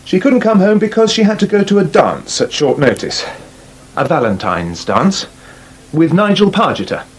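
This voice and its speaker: An actor playing a touchy, glum young English farmer in a naturalistic radio soap. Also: male voice, sits high, sing-song, moderate pace, husky, full character voice. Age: young adult. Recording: faint noise, 1980s radio drama.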